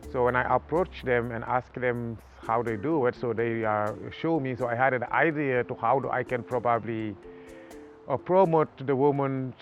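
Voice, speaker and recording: A man from Senegal with a Senegalese accent, talking haltingly about his art in a documentary interview, with many pauses and fillers. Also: medium pitch, muffled recording. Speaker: artist.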